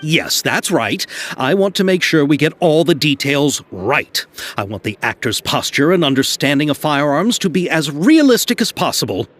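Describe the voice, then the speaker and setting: A man's voice: fairly high pitch, big pitch swings, moderate pace, fairly bright tone. A man playing a polite, eager, fussy film director in a video game. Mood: earnest, apologetic. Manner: courteous, eager, careful. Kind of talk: video game cutscene acting.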